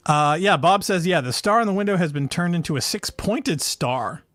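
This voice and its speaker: A man's voice: high voice, lively intonation, moderate pace, fairly bright tone, dry recording, faint music behind. A man hosting a let's play, chatting casually as he comments on a game.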